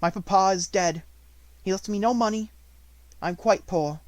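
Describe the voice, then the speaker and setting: A man's voice: normal speed, no echo, lightly acted. A man voicing a grieving but proud little girl in an audiobook story, speaking with mournful steadiness. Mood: mournful, subdued. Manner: mournful, steady, low-toned.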